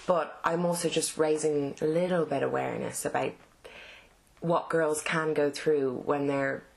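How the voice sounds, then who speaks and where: Woman, Dublin Irish accent, low voice, halting and casual, fillers and restarts. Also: vocal fry, rough recording. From Ireland. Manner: halting, many fillers and restarts, laughs between thoughts. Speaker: actress and interview guest. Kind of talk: casual interview answers.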